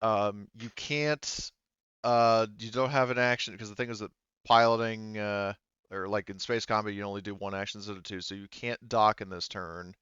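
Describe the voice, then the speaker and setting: A man's voice: fairly low pitch, fairly even intonation, moderate pace, fairly bright tone, smooth, no room sound, lo-fi sound. A man running a tabletop game as game master, explaining the rules in a hesitant, backtracking way.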